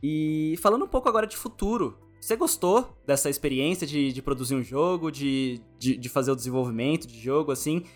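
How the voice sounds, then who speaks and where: A man's voice: on the high side, expressive, a little fast, hardly any pauses, faint music behind. A man with a Brazilian accent hosting a casual podcast interview in an informal, slangy, rambling way. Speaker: podcast host.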